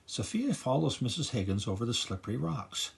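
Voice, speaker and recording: Gravelly-voiced older grandpa storyteller. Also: male voice, mid-range voice, fairly quick. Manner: gentle, grandfatherly, animated storytelling. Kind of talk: children's read-aloud narration.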